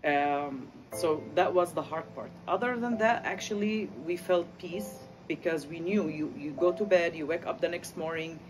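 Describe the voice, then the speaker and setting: A man from Lebanon whose first language is Arabic, telling his story of migrating in a halting way with many fillers. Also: on the high side, noisy background. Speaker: interviewee. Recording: music playing under the voice.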